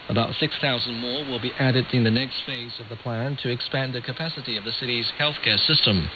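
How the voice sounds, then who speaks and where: A man reading scripted radio news in a measured, formal delivery, as an anchor. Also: medium pitch. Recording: hissy, noisy shortwave radio reception. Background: static hiss.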